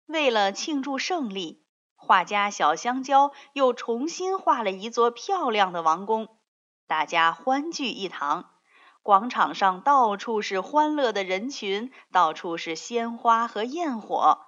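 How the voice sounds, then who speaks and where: A woman narrating a scripted fairy tale for young children in an expressive storytelling manner. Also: fairly high pitch, takes it slowly, lo-fi sound.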